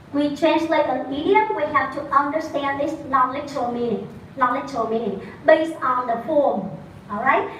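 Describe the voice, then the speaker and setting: A woman's voice: high voice, a bit bright, slight background noise. A woman with a Vietnamese accent giving an academic conference talk, explaining earnestly and often correcting herself mid-word. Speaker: conference presenter. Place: conference room. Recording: echoey hall, far from the mic, faint ambience.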